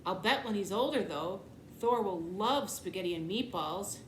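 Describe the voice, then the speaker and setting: A woman's voice: mid-range voice, expressive, normal speed, close to an everyday voice. A woman reading the part of an earnest, blunt, literal-minded young boy in a children's storybook, matter-of-fact and insistent. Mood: eager. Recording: echoey room, clear background noise.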